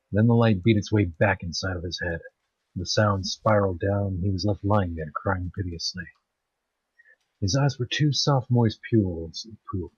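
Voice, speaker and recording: A man giving an amateur dramatic story narration, halting in places and stumbling over words. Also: low-pitched, breathy edge, dry recording, lo-fi sound. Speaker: narrator of online story readings.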